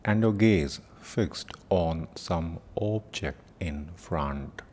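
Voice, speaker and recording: A man leading a guided meditation as teacher, giving soft-spoken instructions with long pauses. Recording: noisy with low rumble.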